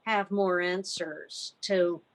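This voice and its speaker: A woman speaking in a club meeting over a video call, explaining things in a measured way. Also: lowish voice, steady tone, slight background noise. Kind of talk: meeting discussion.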